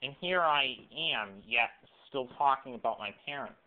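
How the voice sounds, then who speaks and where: A man in his late twenties giving a rambling, personal monologue, halting and often rephrasing himself, recorded on a cell phone. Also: medium pitch, animated. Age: late 20s. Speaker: video blogger. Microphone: cell phone camera microphone. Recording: weak, muffled lo-fi cell phone audio.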